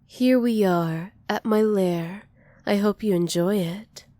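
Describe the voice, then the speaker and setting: A dominant, possessive villainess played by a woman in an ASMR roleplay. Her voice is dark and mellow at a middling pitch, recorded very dry. She speaks with soft, teasing menace, doting on her captive while making clear she is in charge. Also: slow, dry recording, lightly acted. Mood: smug, affectionate. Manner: soft, teasing menace with breathy whispered endearments.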